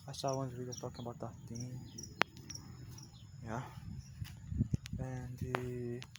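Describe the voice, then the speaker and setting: Halting man, unhurried, outdoor take with crickets chirping loudly behind him. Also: mid-range voice, monotone, heavy background noise. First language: Portuguese. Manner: halting, searching for words, frequent "yeah". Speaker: language learner. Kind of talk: unscripted practice monologue.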